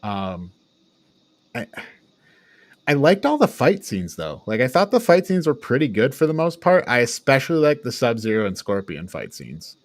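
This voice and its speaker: A man's voice: mid-range voice, very animated, moderate pace, no echo. Man chatting casually in a dry recording. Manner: agreeable, informal, swears casually. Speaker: podcast host. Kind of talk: casual movie review chat.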